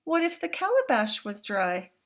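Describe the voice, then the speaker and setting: Small worried boy in a read-aloud storybook, asking eager questions, high and bright, played by a grandmother. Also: wildly swooping pitch, normal speed, heavily acted. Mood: pleading. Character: young Maasai boy, curious and anxious. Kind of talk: read-aloud picture book.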